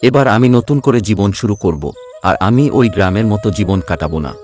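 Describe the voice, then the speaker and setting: A man's voice: on the low side, animated, fast, darkish tone, faint music behind, close to an everyday voice. A man playing a self-centred, ungrateful young adult son in a cartoon, dismissive and self-satisfied.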